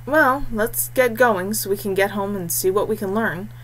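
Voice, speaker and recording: A woman's voice: medium pitch, normal speed, lightly acted. Woman voicing a puzzled young male warrior, noisy recording. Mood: speculating. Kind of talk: audiobook character dialogue. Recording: clearly noisy background hum.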